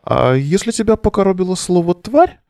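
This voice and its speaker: A man's voice: high-pitched, animated, normal speed, vocal fry, darkish tone, clean tone, no room sound, clean studio sound. A male actor playing a weary, sardonic bohemian in an audio play, delivering a verse monologue over the phone in an ironic, declamatory way. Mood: bitter, ironic.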